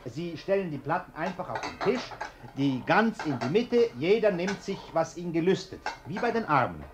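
Fussy bourgeois householder, high swooping voice. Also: male voice, sing-song, normal speed, background noise. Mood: fussy, agitated. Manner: fussy, insistent, ordering. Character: fussy, nervous well-off bourgeois householder, bossy with his maid. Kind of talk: German radio play acting.

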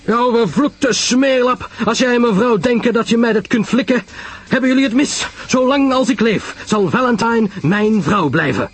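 A man playing a surly British naval commander in an audio drama, with a blunt, forceful way of talking. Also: very high-pitched, lively intonation, normal speed, slightly rough, soft background music, pitched above the performer's own voice, heavily acted. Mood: furious. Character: surly, jealous British naval commander, awkwardly hearty in company.

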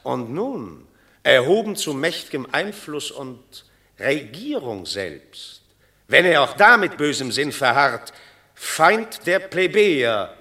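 An actor playing a scheming Roman people's tribune in a classic radio drama, urging and declaiming. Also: male voice, fairly high pitch, sing-song, slow pace, sharp tone, exaggerated voice.

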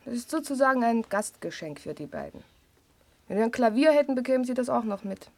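A woman's voice: a little high, expressive, fairly quick, a little mellow, dry recording. A woman playing an impatient, curt and wry householder in a German radio play.

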